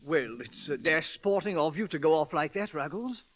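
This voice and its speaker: A genteel, bumbling British lord in an old radio comedy. His high, swooping voice is sheepish and halting, full of vague apology. The broadcast recording crackles. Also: expressive, a bit bright. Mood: flustered, vague. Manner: halting, apologetic, upper-class. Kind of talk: 1940s radio comedy acting.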